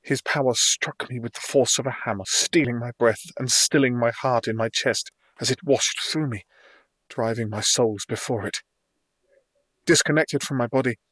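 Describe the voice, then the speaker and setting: A man's voice: medium pitch, fairly bright tone, dry recording. A man reading scripted fantasy fiction as a dramatic first-person audiobook narrator.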